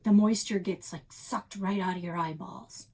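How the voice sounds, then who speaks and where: A woman's voice: medium pitch, steady tone, moderate pace, a little mellow, close to an everyday voice. A woman voicing a stubborn, competitive young girl with a sarcastic, challenging manner, in a children's book read-aloud. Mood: impatient. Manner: snorting, challenging, interrupting.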